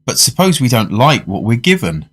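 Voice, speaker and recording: An actor playing a polite, curious gentleman diner in a radio drama, measured and formal in wording. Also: medium pitch, very animated, husky, faint music behind, exaggerated voice. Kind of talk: radio drama narration and dialogue.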